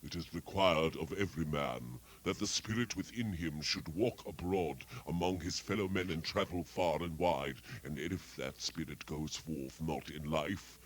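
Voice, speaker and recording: Man to play a deep, slow, moaning ghost of a miser, sorrowful and chained. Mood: solemn, doleful. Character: ghost of a dead miserly businessman, mournful, chained. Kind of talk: dramatic reading of a classic tale.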